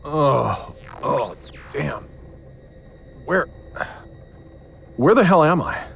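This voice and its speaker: A man's voice: a little high, expressive, very slow, creaky, fairly bright tone, a little hiss or hum, exaggerated voice. A man playing a disoriented, troubled occult investigator in an audio drama, speaking in a blunt, exasperated manner. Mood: disoriented, alarmed.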